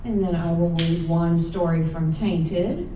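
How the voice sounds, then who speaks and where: A woman's voice: lowish voice, fairly even intonation, unhurried, warm tone, some chatter behind. A woman with a Southern US accent giving a live reading of her folksy, drawling first-person stories. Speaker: author reading own stories. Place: live reading in an indoor event room. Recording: echoey room from far off, faint noise.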